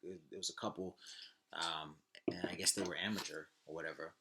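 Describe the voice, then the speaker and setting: A man's voice: low voice, steady tone, a little fast, background noise. A man chatting casually as a podcast co-host, informal and sweary, often repeating himself. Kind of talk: casual chat about movies.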